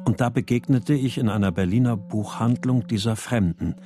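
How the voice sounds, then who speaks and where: An actor playing a troubled, weary writer in a radio drama, speaking in a sober, measured, introspective way. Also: male voice, mid-range voice, music in the background, natural voice. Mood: reflective. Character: troubled male writer recounting his daughter's disappearance, brooding, weary. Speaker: narrator and lead character. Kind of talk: radio drama, introspective first-person narration and dialogue.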